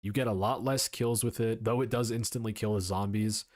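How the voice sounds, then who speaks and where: Young dude on a stream, lowish voice, dry close sound, rambles and swears a bit while answering chat. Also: male voice, steady tone, brisk, dry recording. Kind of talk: casual live-stream commentary.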